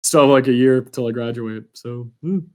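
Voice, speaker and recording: A young man with a medium-pitched voice hosts a casual interview. He talks informally and with a self-deprecating manner, as in relaxed conversation. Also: moderate pace. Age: young adult. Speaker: podcast host, student.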